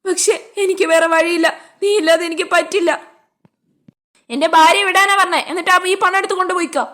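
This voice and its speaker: A woman's voice: extremely high pitch, steady tone, brisk, fairly bright tone, voice pushed down. A woman voicing an earnest, desperate husband who pleads in an amateur cartoon melodrama. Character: desperate young husband pleading for his captive wife.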